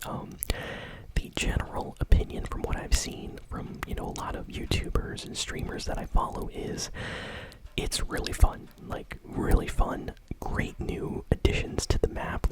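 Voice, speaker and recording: A woman whispering gaming news in an ASMR style as the show's host, soft, conversational and unhurried. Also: poor audio.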